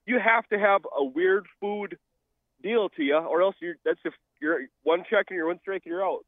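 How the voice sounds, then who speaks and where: A man's voice: high voice, normal speed, no room sound. A man calling in to a radio show over a muffled line, chatting and joking casually. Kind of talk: casual chat. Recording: dull, lo-fi call-in line.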